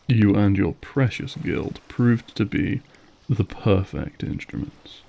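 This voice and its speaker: A man's voice: fairly low pitch, expressive, slow pace, gravelly, highs cut off, heavily acted. A male actor playing a suave, menacing, manipulative vampire lord in an audio roleplay, silky, mocking and formal as he savors each phrase. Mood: gloating, taunting. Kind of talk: scripted audio roleplay acting.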